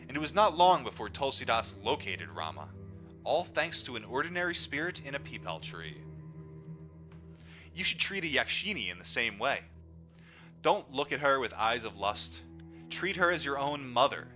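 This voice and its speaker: A man reading a book aloud as a storyteller, in an animated way that acts out the dialogue. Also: medium pitch. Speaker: audiobook reader. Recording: dull lo-fi audio, music underneath. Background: music.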